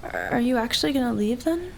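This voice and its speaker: A woman playing a practical, concerned young woman in an audio roleplay, speaking in a measured, matter-of-fact way. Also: a little high, lively intonation, gravelly, slight background noise. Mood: calm, concerned.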